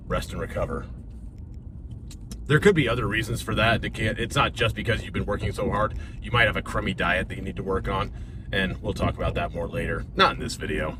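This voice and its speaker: An American man, a fitness coach, talking casually in a vlog, rambling, joking and stuttering as he thinks. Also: on the low side. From United States. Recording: noisy with car sounds behind.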